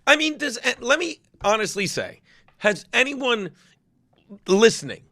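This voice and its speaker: A man's voice: extremely high pitch, measured pace, no room sound. A man, a comedian telling stories in a casual podcast chat, laughing often and acting out quotes. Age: 50s. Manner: animated storyteller, laughs often, acts out quotes. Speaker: guest comedian.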